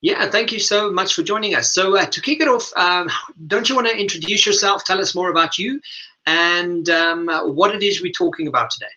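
A man hosting a radio interview, speaking in a halting, hesitant way over a dull, lo-fi recording. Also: high voice, sharp tone. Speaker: radio show host and leadership coach.

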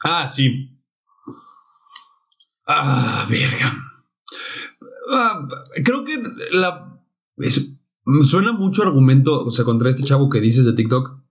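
Brief: young Mexican man, mid-pitched, blunt co-host, swears freely, muffled lo-fi podcast. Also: sing-song, slow pace, long pauses. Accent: Mexican Spanish. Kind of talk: casual chat. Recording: dull lo-fi podcast audio.

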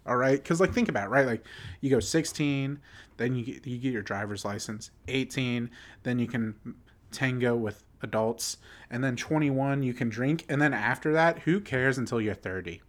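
A man's voice: mid-range voice, moderate pace. A man in his twenties, a podcast co-host, ranting casually and heatedly about his hockey team, filling his talk with "like". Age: 20s. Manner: heated, exasperated ranting with lots of "like" fillers. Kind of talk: casual sports chat.

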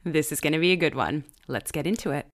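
A woman's voice: low voice, expressive, quick pace, soft background music. Bubbly woman hosting. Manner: bubbly, gushing, exclamatory. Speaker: podcast host. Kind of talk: casual interview chat.

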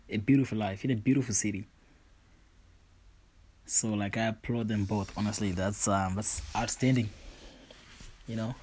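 A man's voice: fairly low pitch, slightly rough. A young man from Zimbabwe hosting his own show, talking casually about his life with lots of fillers. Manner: relaxed, rambling, frequent fillers like "you know". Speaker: podcast host. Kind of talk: casual personal monologue.

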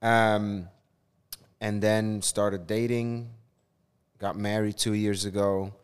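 A man's voice: lowish voice, level tone, takes it slowly, clean tone, in a large hall. A man from Switzerland in his thirties, a non-native English speaker, telling his story conversationally as a pastor on a podcast. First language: not English. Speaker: community engagement pastor, podcast guest.

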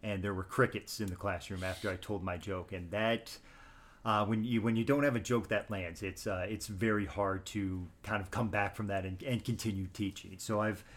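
A teacher speaking in an interview, measured and thoughtful, often restarting his sentences. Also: on the low side, fairly even intonation, a bit bright. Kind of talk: interview conversation.

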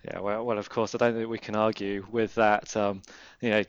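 A man's voice: lowish voice, level tone, normal speed, clean tone, no echo, muffled recording. A man interviewing a guest, asking long rambling questions in a chatty way. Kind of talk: casual interview.

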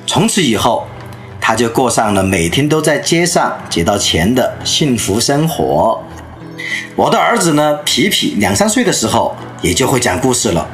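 A man in his thirties or forties delivers a storytelling lecture with a lively voice whose pitch rises and falls widely. He draws listeners in as he tells his anecdotes. Soft music plays faintly underneath. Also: normal speed.

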